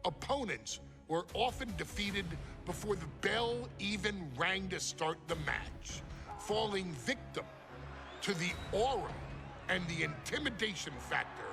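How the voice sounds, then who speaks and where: A man delivering dramatic scripted narration in a grandiose, reverent manner with emphatic pauses, as the presenter of a showcase. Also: high-pitched, lively intonation, creaky. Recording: music under the voice. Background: music.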